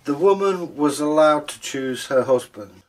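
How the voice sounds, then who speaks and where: A man's voice: fairly high pitch, darkish tone, low-quality recording. A man telling stories aloud in a theatrical, joking manner, drawing out words for emphasis. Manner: theatrical, jokey, drawn-out emphasis. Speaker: storyteller. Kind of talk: animated oral folktale telling and chat.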